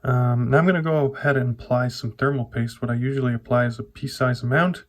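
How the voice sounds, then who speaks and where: A man vlogger talking casually through a hands-on computer build, often pausing and rewording his explanations as he goes. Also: medium pitch, fairly even intonation. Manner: informal, halting, self-correcting explanations. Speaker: tech vlogger.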